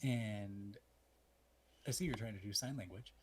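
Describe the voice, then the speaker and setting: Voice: mid-range voice, measured pace, a crowd in the background. An American podcast co-host from Texas, chatting casually. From Texas, United States. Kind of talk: casual chat.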